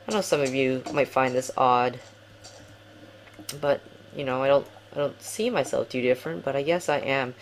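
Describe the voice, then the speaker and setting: A man's voice: medium pitch, a little hiss or hum. A young man giving casual game commentary at home, rambling and apologizing often, poking fun at himself. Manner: rambling, apologetic, self-deprecating. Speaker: gaming commentator.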